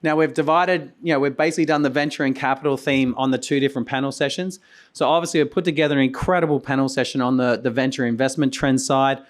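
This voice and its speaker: A hoarse man, losing his voice, hosting a conference and giving a loose, rambling keynote full of restarts and coughs. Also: mid-range voice, fairly even intonation, fast. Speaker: conference host and company founder. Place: conference venue.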